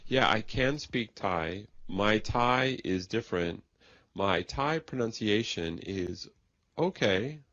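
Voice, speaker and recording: A man speaking slowly in a simple, carefully worded conversation made for English learners. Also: lowish voice, expressive, a bit bright, dry recording, lo-fi sound. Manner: plain, careful wording. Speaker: conversation partner in English lesson.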